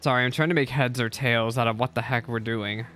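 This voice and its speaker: A young man commenting on his own gameplay for his viewers, excitable and quick to complain or cheer. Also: mid-range voice, soft background music. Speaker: gaming content creator. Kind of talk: live game reaction commentary.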